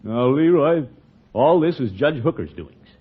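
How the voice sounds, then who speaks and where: An actor playing a pompous, blustering middle-aged uncle in a 1940s radio comedy, theatrical and easily flustered. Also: male voice, medium pitch, expressive, a little slow, breathy edge. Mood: sulky, defensive. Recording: muffled 1940s radio recording.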